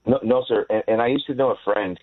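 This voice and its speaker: An American man in his sixties calling a radio show, telling a personal story in an earnest, halting way. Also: lowish voice, no echo. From Hawaii, United States. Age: 60s. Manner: earnest, halting, repeats words. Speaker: phone-in caller. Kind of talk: casual personal anecdote. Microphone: telephone. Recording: tinny narrow phone line.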